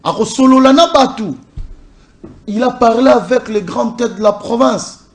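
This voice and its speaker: A man's voice: very high voice, moderate pace. A man from DR Congo's Congo Central, a party communication officer, speaking emphatically in a political interview and driving points home with repeated lists. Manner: emphatic, repetitive listing. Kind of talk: political interview, code-switching.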